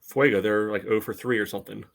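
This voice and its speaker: A man's voice: fairly low pitch, fairly even intonation, fast, creaky. A man co-hosting a casual sports podcast, matter-of-fact and inclined to check the facts. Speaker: podcast co-host.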